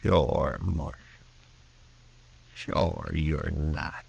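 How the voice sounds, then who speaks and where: An actor playing a cocky gunslinger itching for a fight, with a taunting drawl in 1950s western radio style. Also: male voice, rumbling low, steady tone, extremely slow, gravelly, darkish tone, slight background noise, heavily acted. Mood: goading.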